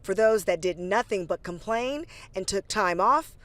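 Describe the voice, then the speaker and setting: A woman's voice: mid-range voice, animated, a little slow, a bit bright. American woman reporter, faint background noise. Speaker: TV news reporter. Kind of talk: live field news report. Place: airport, live on location.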